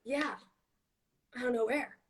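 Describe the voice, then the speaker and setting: A woman's voice: a little high, fairly even intonation, a little slow. A woman who grew up in Miami with Spanish as her first language, chatting expressively and thinking aloud as a guest on a casual live stream. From Miami, USA. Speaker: actress, guest.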